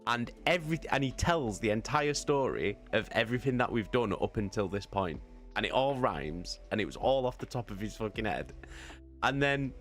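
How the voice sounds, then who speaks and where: A man casually telling a rambling story, excitable and sweary, hammering points home by repeating them. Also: mid-range voice. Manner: sweary, excitable, emphatic repetition, giggly. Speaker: live streamer telling a story.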